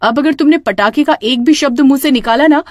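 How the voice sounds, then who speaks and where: A woman's voice: high-pitched, fairly quick, no echo, clean studio sound, pitched above the performer's own voice, lightly acted. A woman voicing a strict, worn-out village mother in a children's story, exasperated and admonishing.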